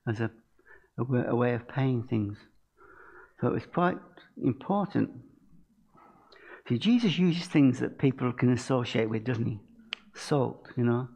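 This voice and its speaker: An older man preaches from a lectern, his voice gravelly at mid pitch. He talks in a chatty way, throwing rhetorical questions to the congregation. The livestream sound is somewhat dull. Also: darkish tone. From England. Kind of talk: informal sermon with questions to the congregation. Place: church hall stage at a lectern.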